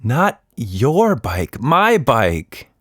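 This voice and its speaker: A man's voice: medium pitch, wildly swooping pitch, very slow, a bit bright, dry recording, clean studio sound, heavily acted. A man voicing a young girl, eager but argumentative and a bit reluctant, in a children's story. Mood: sheepish, protesting.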